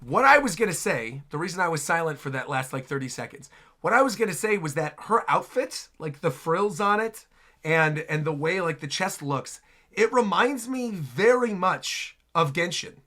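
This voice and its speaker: A man's voice: a little high, expressive, moderate pace, bright, forceful tone. A man hosting a reaction stream, giving casual live commentary and rambling as he thinks aloud.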